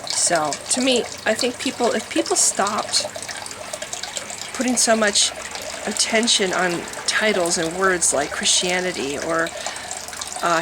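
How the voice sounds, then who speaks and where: A woman's voice: lowish voice, background noise. A middle-aged woman from Wisconsin with a nasal voice, rambling candidly in a personal vlog monologue with many restarts. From Wisconsin, USA. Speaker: vlogger. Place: her home with water fountains running.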